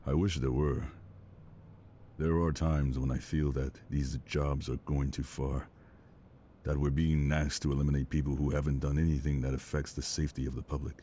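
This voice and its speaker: A man's voice: rumbling low, level tone, moderate pace, dark tone, a little hiss or hum. A man playing a weary, guarded, dutiful military lieutenant in a naturalistic drama. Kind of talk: naturalistic audio drama acting.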